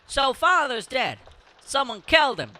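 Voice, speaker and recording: An actor playing a stern, hard-working sibling in charge of a farmhouse in an amateur audio drama. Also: male voice, very high voice, very animated, a little slow, sharp tone, heavily acted. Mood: accusing.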